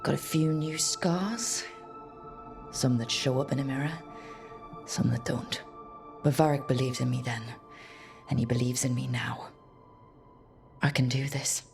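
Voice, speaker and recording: A woman playing a resolute, wry young adventurer in a video game, musing to herself in an unhurried, reflective way. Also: rumbling low, vocal fry, a little mellow, faint music behind, full character voice. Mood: resolved.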